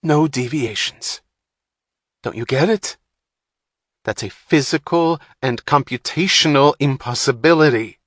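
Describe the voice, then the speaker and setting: A man's voice: medium pitch, measured pace, fairly bright tone, no room sound, clean studio sound, voice pushed up. A man doing a brash, snapping tech guy. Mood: irritable. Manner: snapping, abrasive, exasperated. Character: irritable male computer technician, brash, profane.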